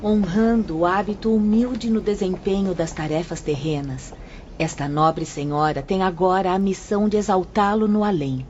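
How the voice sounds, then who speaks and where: A woman as a patient spirit guide, calm explainer, muffled faint hiss. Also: on the low side, moderate pace, lightly acted. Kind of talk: audio drama acting. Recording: muffled lo-fi audio with faint noise.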